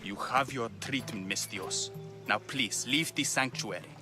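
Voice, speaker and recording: An actor playing a smooth, menacing Greek temple priest in a dramatic video game, speaking with mock politeness. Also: male voice, medium pitch, unhurried, natural voice. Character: smooth, menacing male temple priest enforcer in ancient Greece. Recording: loud music behind the voice.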